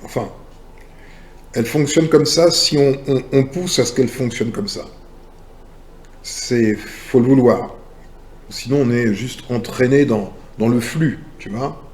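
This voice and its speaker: A man hosting a casual spiritual talk, speaking slowly and directly to the listener in a relaxed, familiar way. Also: mid-range voice. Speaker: channel host.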